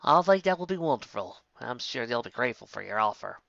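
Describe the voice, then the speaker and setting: A woman's voice: extremely low pitch, sing-song, quick pace, gravelly, fairly bright tone, dry recording, exaggerated voice. A woman voicing an earnest farm pony mare, in a dull lo-fi home recording. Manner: earnest, emotional, folksy.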